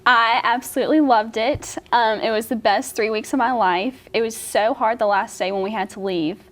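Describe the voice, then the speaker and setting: A woman's voice: on the high side, steady tone, normal speed. A young woman student speaking enthusiastically in an interview, gushing with praise. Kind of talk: interview answers. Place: studio.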